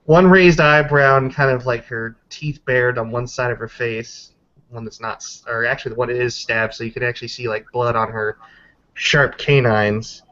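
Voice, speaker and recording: A man narrating a tabletop roleplaying game as game master, halting and descriptive, calling out dice rolls. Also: medium pitch, fairly even intonation, fairly bright tone, highs cut off.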